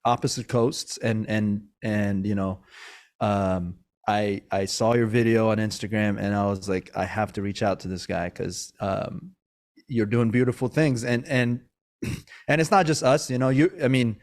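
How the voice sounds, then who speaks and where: A man's voice: fairly low pitch, steady tone, unhurried, darkish tone. Rambling, friendly male podcast host. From Iran, raised in Maryland. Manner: rambling, with many fillers and restarts. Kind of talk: casual interview chat. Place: Sacramento, California.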